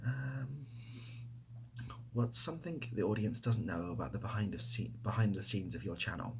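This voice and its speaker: A young man with an English accent chatting softly in an ASMR style, hesitant and rambling, often dropping to a near-whisper. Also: lowish voice, normal speed, creaky, noisy background. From near Bath, England. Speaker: ASMR video creator. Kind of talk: softly spoken ASMR casual chat answering questions.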